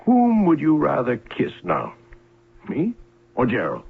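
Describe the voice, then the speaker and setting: An actor playing a proud, bitter older inventor and scheming uncle, theatrical and commanding, with a gravelly, raspy voice in an old radio drama. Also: male voice, on the high side, wildly swooping pitch, measured pace, exaggerated voice. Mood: proud, probing. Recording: lo-fi, muffled old radio broadcast.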